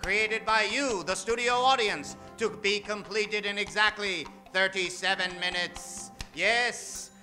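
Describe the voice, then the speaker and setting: High, very bright theatrical radio announcer man. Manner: hammy, tongue-in-cheek showman. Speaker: radio show host and narrator. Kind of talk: old-time radio announcing, theatrical narration. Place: stage before a studio audience.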